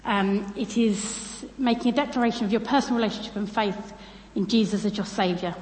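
A woman's voice: medium pitch, brisk, a little mellow, a little hiss or hum. A British woman giving an informal, chatty church talk in a self-deprecating way. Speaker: church speaker presenting on baptism. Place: large church room, speaking to a congregation. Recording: echoey hall with long reverb.

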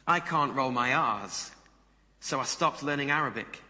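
A man preaches with a strikingly bright, forward voice at a middle pitch. His sermon delivery is conversational, often turning to the listeners with rhetorical questions. The sound carries the long reverb of a hall. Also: unhurried. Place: large reverberant room before a congregation.

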